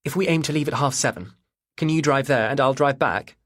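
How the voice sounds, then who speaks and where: A man's voice: mid-range voice, fairly quick, voice pushed up, close to an everyday voice. A man narrating an audiobook and voicing a hesitant, coaxing wife and mother. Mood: tentative.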